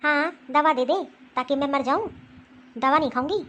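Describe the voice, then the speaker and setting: A woman's voice: high-pitched, moderate pace, a bit bright, a little hiss or hum. A woman acting as a bossy, scheming older mother-in-law who scolds and gives orders in a family skit. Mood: scolding. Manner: scolding, commanding, grumbling to herself.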